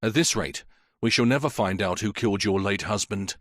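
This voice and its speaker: A man voicing a police inspector who questions a witness in a measured, firm way. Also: fairly low pitch, a bit bright, no echo, clean studio sound, close to an everyday voice. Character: stern, patient male police inspector. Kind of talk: audiobook character voice.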